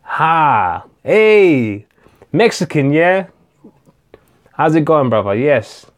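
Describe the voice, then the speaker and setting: A man's voice: a little high, sing-song, drawn-out words. Chatty man hosting a live stream. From England. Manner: chatty, hesitant, many fillers and repeated starts; reads viewer comments aloud and answers them. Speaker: tech channel host.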